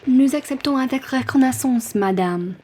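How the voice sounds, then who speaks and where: A woman's voice: high-pitched, normal speed, mellow, husky. A woman playing a dignified lady traveller in a French radio play, speaking formally. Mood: gracious.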